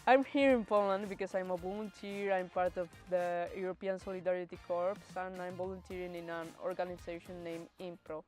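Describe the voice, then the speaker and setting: A woman in her twenties from Spain and Mexico, a volunteer speaking cheerfully and at a measured pace. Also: lowish voice, level tone, music in the background. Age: 20s.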